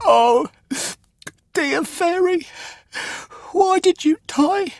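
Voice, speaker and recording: A man voicing a young wooden puppet boy from a storybook, naive and excitable, with pleading, exclaiming speech. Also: extremely high pitch, drawn-out words, creaky, no room sound, studio quality, voice pushed up, exaggerated voice. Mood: tearful, distraught. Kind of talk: storybook character voice.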